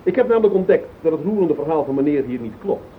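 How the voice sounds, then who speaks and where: An actor playing a cheeky, snooping sleuth, mock-polite and self-satisfied, in a Dutch radio play. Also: male voice, animated, quick pace, lightly acted. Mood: smug, needling. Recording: tinny old radio recording, faint rumble.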